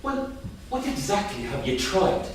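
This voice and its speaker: A man playing a sharp-tongued, sardonic businessman and father in a staged script reading. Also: high-pitched, big pitch swings, unhurried. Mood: impatient, sardonic. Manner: sardonic, exacting. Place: theatre stage. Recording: distant, very echoey hall, faint noise.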